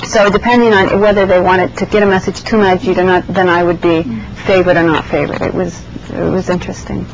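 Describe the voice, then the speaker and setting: A woman who grew up in Iran, being interviewed and recalling her past in a reflective, hesitant way, with many pauses and restarts. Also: lowish voice, little pitch movement, background noise. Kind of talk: oral history interview.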